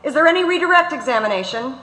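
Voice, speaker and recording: Stern, curt courtroom judge woman performer, high sharp voice, echoey stage. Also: animated, rapid-fire. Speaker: reader in staged documentary. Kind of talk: dramatic reading of court transcript. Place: large auditorium.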